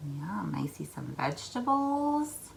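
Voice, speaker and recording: Woman, children's read-aloud host, playful and very animated in pitch, with noticeable background noise. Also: takes it slowly, vocal fry. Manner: playful, expressive, prompting the listener with questions. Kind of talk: picture book read-aloud with questions to young listeners.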